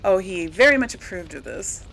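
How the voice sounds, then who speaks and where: A woman's voice: mid-range voice, expressive, moderate pace, creaky, a bit bright, a little hiss or hum, poor audio. A woman hosting a let's play, chatting casually and thinking aloud as she plays. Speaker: gaming video host.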